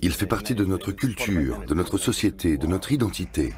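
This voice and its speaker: A man's voice: deep voice, very animated, moderate pace. A man giving emphatic interview testimony.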